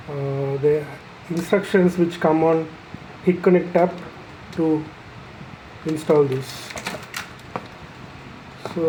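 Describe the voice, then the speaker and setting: Tutorial presenter: unhurried, halting, plain-spoken, soft mellow timbre, walking through hardware off the cuff. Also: mid-range voice, slight background noise, low-quality recording. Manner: halting, pausing mid-phrase, matter-of-fact. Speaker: installer presenting a product tutorial. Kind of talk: unscripted product demonstration, explanatory.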